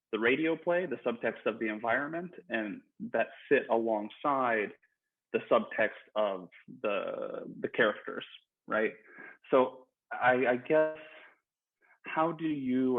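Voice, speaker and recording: A man's voice comes through thin, phone-like call audio. He is moderating a discussion and talks in a thoughtful, hesitant way, feeling his way toward each question. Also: medium pitch.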